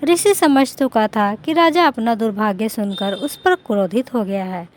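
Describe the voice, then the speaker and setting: A woman's voice: on the high side, unhurried, slight background noise. A woman reading a scripted moral story as a narrator.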